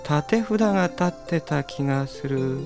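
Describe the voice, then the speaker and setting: A man's voice: a little high, lively intonation, a little slow, creaky, over music. A man playing a listless, resigned townsman in an audio drama, drawling and indifferent. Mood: weary, indifferent. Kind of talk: Japanese audio drama character acting.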